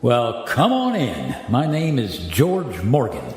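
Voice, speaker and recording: A man's voice: mid-range voice, wildly swooping pitch, slow pace. A man playing a skeptical, good-humored ordinary American husband and pilot in a radio play, conversational and wry. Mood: amused doubt. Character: George Morgan, skeptical good-humored everyman airline pilot and father. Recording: echoey reverb, music behind.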